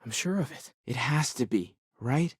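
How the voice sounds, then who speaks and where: A man's voice: mid-range voice, expressive, normal speed, husky, no room sound, studio quality. A man playing an earnest, curious young space explorer of faith in a video game, speaking thoughtfully and at times hesitantly. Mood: earnest wonder. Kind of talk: game dialogue voice lines.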